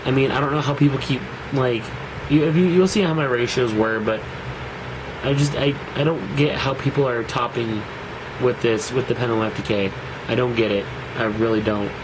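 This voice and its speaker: A man's voice: medium pitch, steady tone, normal speed. Nasal young man, getting over a cold, rambling and hesitant, air conditioning hum behind. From Seattle area. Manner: rambling, hesitant, many fillers and restarts, swears casually. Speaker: card game deck reviewer. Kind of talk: casual deck profile vlog.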